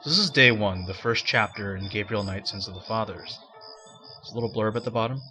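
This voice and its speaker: Casual: dude narrating his let's play, lowish voice, kinda rambly, explaining menus, game music loud behind. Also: brisk.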